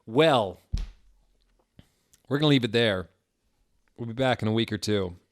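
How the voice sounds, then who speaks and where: A man's voice: mid-range voice, measured pace, no echo. A man giving a dramatic, comedic reading of fan fiction as its narrator. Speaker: reader.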